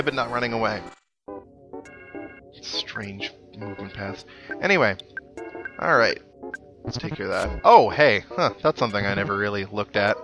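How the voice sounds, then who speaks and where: Young man rambling over game music. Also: lowish voice. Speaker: video game let's player. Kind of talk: casual gameplay commentary. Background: video game music.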